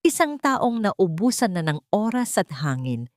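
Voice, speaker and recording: A woman's voice: mid-range voice, sing-song, unhurried, no echo, clean studio sound. A woman narrating a scripted drama in a theatrical, suspenseful way.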